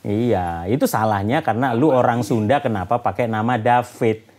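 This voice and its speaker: A man's voice: mid-range voice, moderate pace, darkish tone. A man hosting a casual educational show, with an informal, slangy, bantering way of talking. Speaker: host.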